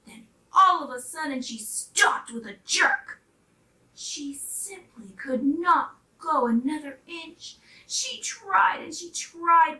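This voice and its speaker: Female children's story reader, expressive and unhurried, very lively intonation, recorded in a roomy space. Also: high-pitched. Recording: roomy, distant microphone.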